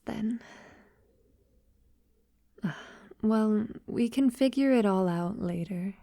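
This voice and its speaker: A woman playing a tender, devoted vampire lover in an intimate ASMR audio roleplay, softly and breathily, often near a whisper, with hesitant pauses. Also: medium pitch, no room sound, clean studio sound. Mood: affectionate, reassuring. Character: tender, devoted female vampire lover, wealthy and long-lived.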